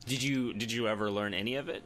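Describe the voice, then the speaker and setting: A man's voice: lowish voice, fast talker, a bit bright. An American man hosting an interview, chatting casually and joking, laughing often. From United States. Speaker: interviewer, host.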